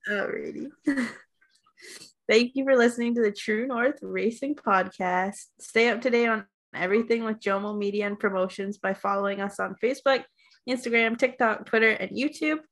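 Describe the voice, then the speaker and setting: A woman's voice: fairly high pitch, normal speed, fairly bright tone. A woman, co-hosting a podcast in a casual, chatty style. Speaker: podcast co-host. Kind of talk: casual chat.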